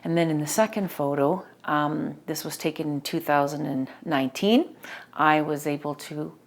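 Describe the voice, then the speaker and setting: A middle-aged woman from Canada, a mother and former dancer, recalling the past in a measured, reflective way. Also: low voice. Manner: measured, reflective, a few fillers. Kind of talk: interview reminiscence.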